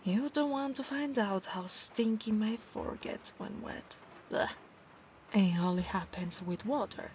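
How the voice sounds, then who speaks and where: A proud, battle-hungry centaur knight played by a woman speaks with an Italian accent straight to the listener. Her voice sits at a middle pitch, soft and formal, with breathy whispers in an ASMR roleplay. Noticeable ambient noise runs behind her. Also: measured pace, lightly acted. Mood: conversational. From Italy. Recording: clear background noise, ambience.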